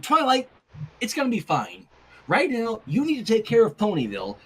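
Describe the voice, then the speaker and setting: A man reads as an easygoing teenage girl in a cartoon fan story. Her voice sits high and moves around a lot. She comes across as caring, friendly and reassuring. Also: wildly swooping pitch, normal speed, slightly rough, exaggerated voice.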